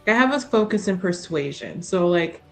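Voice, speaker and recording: A woman's voice: lowish voice, animated, moderate pace, faint music behind. A woman chatting casually as a player in a tabletop roleplaying game.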